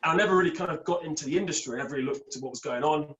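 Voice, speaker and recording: A man's voice: a little high, rapid-fire, a bit bright, muffled recording. A young Scottish man with an English accent, chatting casually on a call as a podcast guest, rambling upbeat through his story. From Scotland. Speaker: podcast guest, beard product entrepreneur. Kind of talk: casual chat.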